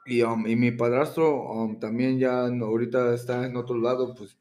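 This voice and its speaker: A man in his thirties, from Mexico and raised in Florida, answering questions hesitantly with many pauses as a rapper guest. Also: mid-range voice, flat delivery, darkish tone. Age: 30s. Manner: hesitant, many ums and restarts. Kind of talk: casual interview.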